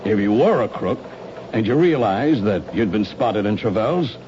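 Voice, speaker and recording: An actor playing a skeptical, world-weary police lieutenant, wry and colloquial, in a 1970s radio drama. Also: male voice, fairly low pitch, expressive, moderate pace, lightly acted. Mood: skeptical, probing. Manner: wry, colloquial, matter-of-fact. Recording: dull, noisy old radio recording.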